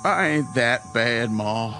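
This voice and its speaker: An actor playing a sore, whiny young bully with a rural American accent in a radio drama. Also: male voice, mid-range voice, animated, takes it slowly, over music. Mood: hurt, bitter. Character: sore, whiny young country bully nursing wounded pride.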